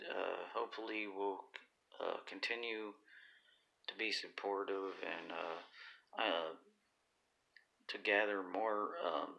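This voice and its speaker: A man with a raspy voice talking casually on his own livestream, halting often with fillers and restarts. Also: sits low, bright, forceful tone. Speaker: YouTuber.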